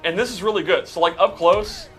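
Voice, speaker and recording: A man's voice: high-pitched, a little fast, a bit bright. A man giving casual, explanatory commentary on how a video game character plays. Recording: music playing behind the voice.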